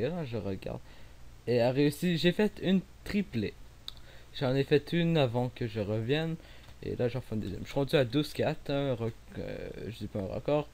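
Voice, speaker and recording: A man's voice: medium pitch, a little mellow. A young man with a Québécois French accent, commenting casually on his own gameplay, rambling with many fillers and restarts. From Quebec. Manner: rambling, many fillers and restarts, sometimes drops to near mumble. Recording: faint hiss.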